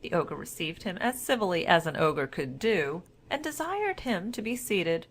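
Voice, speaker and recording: A woman storyteller narrating a scripted fairy tale in a formal, measured manner. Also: lowish voice, big pitch swings.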